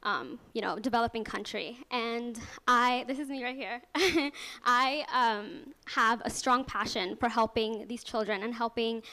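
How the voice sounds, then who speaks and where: A young woman from Ethiopia pitches her student startup to a live audience. Her voice sits somewhat high, and she sounds nervous but earnest, laughing often and leaning on fillers. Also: unhurried, few pauses, bright, forceful tone. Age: young adult. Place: venture lab event room.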